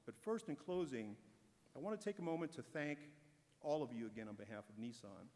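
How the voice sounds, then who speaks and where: Man, corporate speaker, prepared speech, measured and encouraging, big echoing hall. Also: medium pitch, lively intonation, warm tone, slight background noise. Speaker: corporate speaker at an awards ceremony. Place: large event hall. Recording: long hall reverb.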